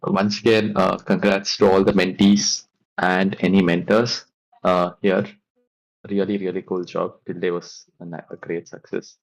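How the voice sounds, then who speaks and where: A man's voice: sits low, level tone, measured pace, creaky, poor audio. A young man closing an online club meeting, rambling and thankful with many fillers, over a video call. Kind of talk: casual closing remarks.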